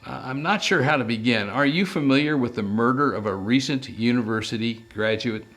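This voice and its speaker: A man's voice: medium pitch, brisk, fairly bright tone, natural voice. A man voicing a priggish, anxious male English professor in a detective audiobook, speaking formally and wordily. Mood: earnest, worried. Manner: formal, wordy, fussy.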